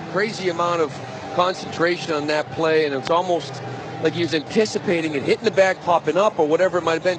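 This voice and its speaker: An American man with a slightly high voice breaks down baseball plays as a colour commentator. He explains in a conversational way over loud background noise on a live broadcast. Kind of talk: analytical live sports commentary.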